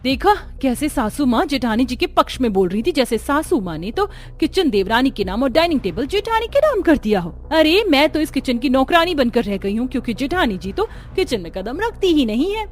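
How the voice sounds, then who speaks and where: A woman as a high-pitched, resentful young sister-in-law. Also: very animated, fairly quick, hardly any pauses. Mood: grumbling. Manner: sarcastic, dramatic, nagging.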